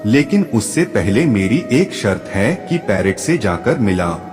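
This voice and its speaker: Man voicing an old sage, calm, indulgent, low voice, echoey, faint music. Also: a little slow. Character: older male holy man granting wishes.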